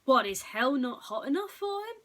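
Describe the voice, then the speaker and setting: Woman voicing a loud, scornful, bossy man. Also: high-pitched, very animated, normal speed, voice pushed up. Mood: scornful, angry. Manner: loud, demanding, disbelieving. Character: angry, scornful, bossy male customer Mitchell. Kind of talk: bedtime storytelling character voice.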